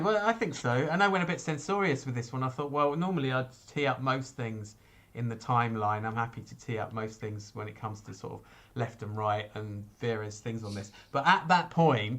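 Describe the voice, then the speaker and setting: A man's voice: mid-range voice, fairly bright tone. A man hosting a chat show casually, interrupting himself and thinking aloud.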